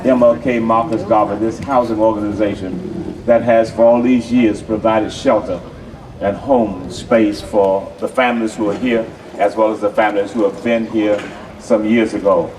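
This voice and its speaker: A pastor addressing a community meeting in a measured, rhetorical style that repeats phrases. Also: male voice, fairly low pitch, fairly even intonation. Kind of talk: preacherly address to a crowd. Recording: distant mic with loud crowd.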